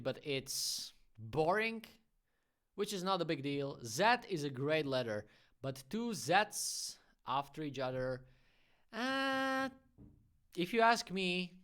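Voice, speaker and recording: A man's voice: fairly high pitch, a bit bright, smooth. A man who speaks English as a second language, hosting a casual livestream Q&A as a graffiti artist and chatting frankly through his opinions. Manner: chatty and frank, giving opinions with friendly asides.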